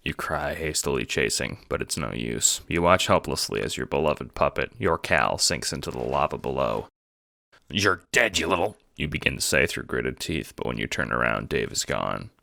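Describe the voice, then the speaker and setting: A man's voice: extremely low pitch, expressive, moderate pace, creaky, hardly any pauses, bright, forceful tone, dry recording, clean studio sound. A man narrating a scripted second-person audiobook drama.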